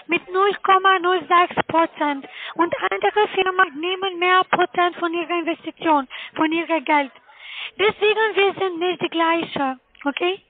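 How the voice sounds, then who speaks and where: Female telemarketer, very high voice, foreign accent, non-native phrasing, thin muffled phone line. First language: not German. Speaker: cold caller selling online investments. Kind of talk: phone sales pitch. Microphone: telephone call.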